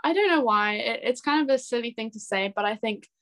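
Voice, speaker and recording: A woman in her twenties speaking as an interview guest in a casual, chatty and expressive way. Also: a little high, brisk. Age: 20s. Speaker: interview guest, singer-songwriter.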